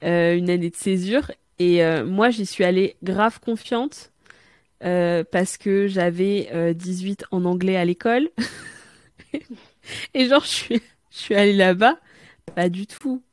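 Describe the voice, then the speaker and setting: A woman's voice: lowish voice, no room sound. Dry-sounding woman podcast host. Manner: supportive, quick reactions and questions. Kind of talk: casual conversational interview.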